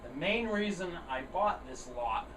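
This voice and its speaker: A man's voice: high voice, lively intonation, unhurried. A man giving a casual, unscripted show-and-tell, plain-spoken and thinking aloud as he goes. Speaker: hobbyist video host showing gear. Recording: roomy, distant mic, air conditioner noise. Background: air conditioner running.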